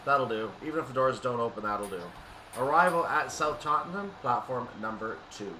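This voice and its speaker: A man giving casual commentary on a train simulator, thinking aloud and explaining in an unhurried way. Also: medium pitch, sharp tone. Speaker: gaming video creator. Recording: distant mic, clear background noise.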